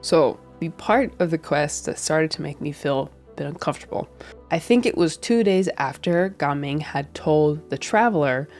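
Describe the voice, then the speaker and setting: Young woman, low voice, stuffy from a head cold, casual earnest streamer commentary, faint music underneath. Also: lively intonation, moderate pace, a little mellow. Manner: earnest, rambling, many restarts and fillers. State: head cold, a bit nasal. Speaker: streamer and video creator. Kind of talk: casual reflective commentary to camera. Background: faint background music.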